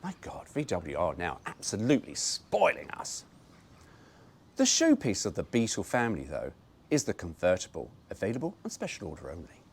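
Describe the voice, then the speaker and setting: A man's voice: deep voice, wildly swooping pitch, moderate pace, slightly rough. A man reading an old car brochure aloud, breaking off for wry, mock-indignant asides.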